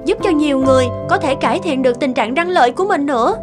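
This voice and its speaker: Woman acting as young cartoon wife, high voice, teasing and supportive, expressive, loud music underneath. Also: fast talker, lightly acted. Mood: curious, impressed.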